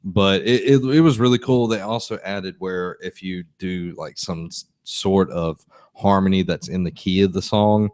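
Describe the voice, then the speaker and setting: A very low-pitched man talks games on a podcast, loose and rambling, often restarting mid-thought. His voice sits close and dry, with almost no room around it. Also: normal speed, darkish tone, no room sound. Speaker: podcast co-host. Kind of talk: casual chat about games.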